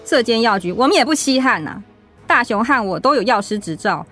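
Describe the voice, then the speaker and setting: A woman playing a resentful, sharp-tongued daughter-in-law in a radio drama, complaining and argumentative. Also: a little high, animated, a little fast, faint music behind. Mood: indignant.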